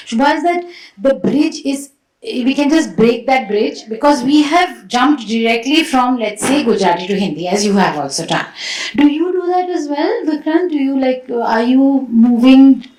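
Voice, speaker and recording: A woman moderating a festival panel, asking thoughtful questions in an animated way with fillers. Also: fairly high pitch, echoey room, rough recording. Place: festival stage hall.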